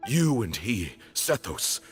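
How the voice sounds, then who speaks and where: A man playing a grave, regretful old leader of a secretive temple order in a video game, speaking in a solemn, deliberate, formal way. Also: fairly low pitch, big pitch swings, soft background music. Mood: bitter, wistful. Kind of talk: dramatic game voice acting, solemn monologue.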